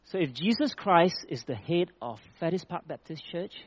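A man's voice: on the high side, lively intonation, moderate pace, husky, no echo, dull audio. A man from Malaysia preaching a sermon, reading scripture with rising emphasis and then talking plainly with small restarts.

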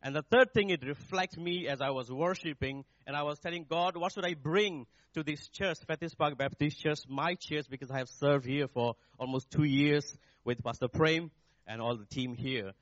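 A man in his forties, a native Nepali speaker with a Nepali accent, preaching in a halting, searching way as a guest speaker at a church. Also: on the high side, dry recording. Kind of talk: sermon. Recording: dull, dry sound.

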